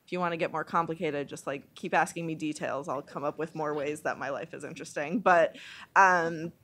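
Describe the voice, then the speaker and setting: A woman's voice: low voice, brisk, fairly bright tone. A woman from Bosnia and Herzegovina speaking informally as a panelist, thinking aloud hesitantly with some self-deprecating humour. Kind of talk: informal spoken panel commentary.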